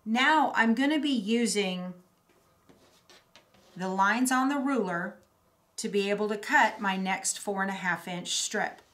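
A woman's voice: medium pitch, measured pace, pauses often, a bit bright. A middle-aged woman giving a hands-on demonstration as an instructor, explaining methodically step by step. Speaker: quilting instructor. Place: indoor craft studio at a cutting table. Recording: roomy echo, clear background noise.

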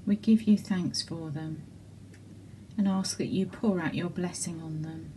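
A woman leading intercessory prayers in a measured way, pausing between short petitions. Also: fairly low pitch, steady tone, dark tone, slight background noise. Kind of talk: slow spoken intercessory prayer.

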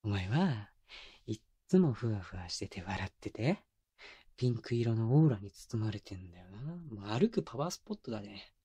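A man acting as a tender young boyfriend in an ASMR audio drama. He speaks in a soft, breathy murmur, very close and dry on a binaural mic. His manner is doting and teasing, slipping into coaxing baby talk. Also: on the low side, sing-song, normal speed, dry recording, heavily acted. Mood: playful, affectionate. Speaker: voice actor. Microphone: close binaural microphone, dry.

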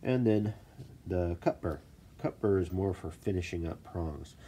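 A man with a stuffy voice from a cold, casually explaining stone setting in a relaxed, self-deprecating way at his workshop desk. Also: deep voice, unhurried, a little hiss or hum. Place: workshop desk, filmed from above.

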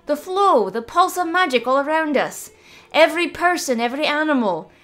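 A woman voicing a mischievous, bouncy young female druid in a storybook reading, talking high, loud and excitably. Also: normal speed. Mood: enthusing.

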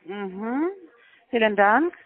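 A man as a phone scammer, speaking halting, broken German patiently and repetitively on a phone call. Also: sits high, lively intonation, no room sound. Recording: tinny phone line.

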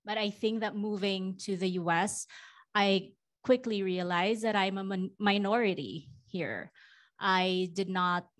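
A woman's voice: medium pitch, a little slow. A woman in her forties from the Philippines, Filipino her first language, speaking as a guest on a conference stage with a handheld mic, reflective and full of ums. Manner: warm, reflective, many ums. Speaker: panel guest. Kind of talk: fireside chat on stage. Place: conference stage before a live audience.

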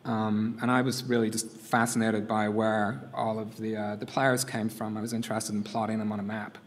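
A middle-aged man with a non-North American accent, giving a casual, halting live coding demo at a conference lectern, with many fillers and restarts. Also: fairly low pitch, little pitch movement, a little hiss or hum. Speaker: conference workshop presenter. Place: conference room. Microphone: lectern mic.

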